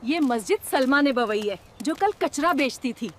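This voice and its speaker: High-pitched gossipy village woman played by an actress. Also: animated, normal speed. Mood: gossipy, curious. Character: chatty, curious village woman gossiping about a neighbour. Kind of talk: acted story dialogue. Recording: clear background noise, clicks.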